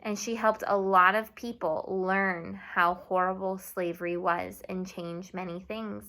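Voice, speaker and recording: A young woman teacher giving a video lesson to children, speaking slowly and clearly and switching between English and Spanish. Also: mid-range voice, fairly even intonation, pushed and bright. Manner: slow and clear, mixing English and Spanish, asks students to repeat. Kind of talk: classroom video lesson for kids.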